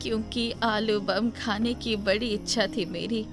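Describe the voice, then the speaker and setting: A woman voicing a kindly old grandmother, later a ghost, who speaks affectionately and reassuringly in an animated moral story. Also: mid-range voice, measured pace, faint music behind, close to an everyday voice. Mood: gentle, wistful. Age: older.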